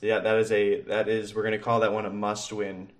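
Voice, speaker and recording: A young man talking casually on a sports podcast. His voice sits low, and his talk rambles, full of fillers and easy chuckles. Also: flat delivery, a little fast. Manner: rambling, lots of fillers, laughs easily. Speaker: podcast co-host. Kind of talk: casual chat.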